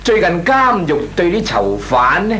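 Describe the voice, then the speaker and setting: Wheedling, stammering Hong Kong employee, animated. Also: male voice, high voice, a little slow, a little hiss or hum, heavily acted. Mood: cheeky insistence. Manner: stammering, deferential, argues pompously. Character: wheedling, nervous but cheeky male employee. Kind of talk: Cantonese comic radio drama acting.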